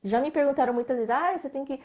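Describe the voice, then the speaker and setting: A woman with a Brazilian Portuguese accent, a visual artist speaking as a guest in a live online interview, reflective, often tagging her sentences with questions and letting them trail off softly. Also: sits high, fast talker.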